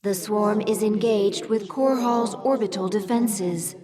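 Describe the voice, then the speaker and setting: An actress playing a dutiful alien adviser in a video game, giving formal, deferential battle reports. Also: female voice, medium pitch, slow, a crowd in the background, lightly acted. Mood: formal, alert. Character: dutiful female alien adviser to swarm queen.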